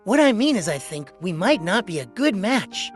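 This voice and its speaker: A woman's voice: mid-range voice, very animated, normal speed, close to an everyday voice. A woman voicing a cold, curt, impatient young boyfriend in a romance comic dub. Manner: curt, dismissive. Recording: music behind the voice.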